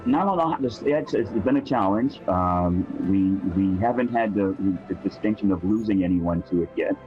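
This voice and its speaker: A man who works on city ferries, answering interview questions in a halting way with many fillers. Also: on the low side, lo-fi sound, soft background music. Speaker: ferry worker.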